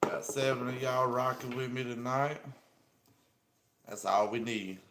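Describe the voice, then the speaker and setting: A man's voice: medium pitch, fairly even intonation, a little slow, a bit bright. A man with a Southern US accent from South Carolina, hosting a live stream and chatting casually with viewers in a laid-back, friendly way, using an earpiece microphone indoors. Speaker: livestream host. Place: indoor room. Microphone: small earpiece microphone. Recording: echoey room with faint ambient noise.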